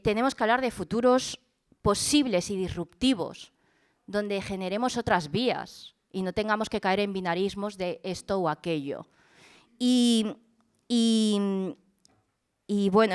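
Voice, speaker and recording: A woman's voice: mid-range voice, smooth. A woman speaking earnestly at a panel event, reading a poem and then talking, with many fillers and restarts. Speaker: panel speaker and project founder.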